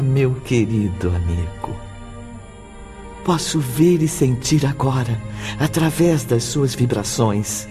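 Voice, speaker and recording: A gentle radio drama narrator with a very mellow voice. He speaks very slowly, in reverent, poetic phrases. Music sits behind him. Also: mid-range voice.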